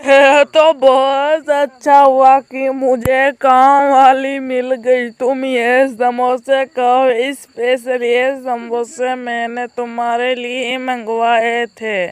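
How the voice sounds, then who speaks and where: Weary, friendly old cartoon eagle, played by a woman: smooth, even, measured, mild-mannered. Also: high-pitched, no echo. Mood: pleased. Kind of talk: Hindi moral-story cartoon dialogue.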